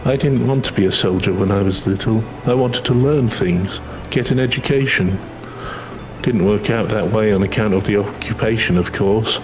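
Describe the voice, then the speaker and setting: A man's voice: fairly low pitch, normal speed, poor audio. A man reading a video game character's dialogue aloud as a co-reader on a stream. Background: video game music.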